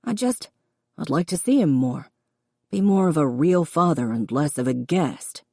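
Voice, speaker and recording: A woman narrator voicing a remorseful older father with a quiet, reedy voice. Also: low voice, lively intonation, measured pace, no room sound, studio quality, exaggerated voice. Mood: pleading, tender.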